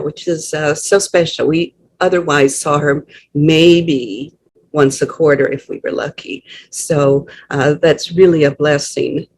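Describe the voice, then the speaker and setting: An older woman introducing herself at a town hall over a video call, speaking gently and hesitantly with many pauses. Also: lowish voice. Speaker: dance group co-leader.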